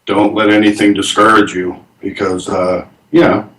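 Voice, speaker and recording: A man in his forties talks as a band guest, earnest and rambling. His low voice comes from away from the mic in an echoey room. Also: level tone, normal speed, a bit bright, recorded from a distance. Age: 40s. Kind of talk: casual interview chat.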